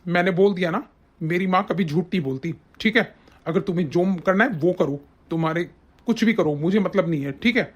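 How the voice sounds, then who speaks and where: A woman's voice: lowish voice, fairly quick, natural voice. A woman playing an angry, domineering young husband and devoted son, bossy and accusing in a family melodrama. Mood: stern, dismissive. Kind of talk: domestic family melodrama acting. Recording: dull, muffled lo-fi sound.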